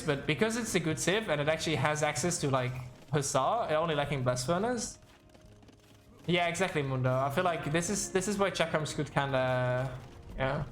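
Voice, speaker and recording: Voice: mid-range voice, fairly bright tone. Casual, rambling game caster in an echoey room. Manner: rambling, thinking aloud, self-correcting. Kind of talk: casual live esports commentary, chatting with viewers.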